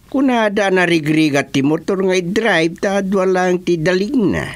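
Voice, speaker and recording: A man's voice: high voice, close to an everyday voice. A man playing a worried, fussing middle-aged village uncle with an Ilocano accent and many exclamations, in a rural radio comedy drama. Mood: concerned, teasing. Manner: exclamatory, drawn-out interjections.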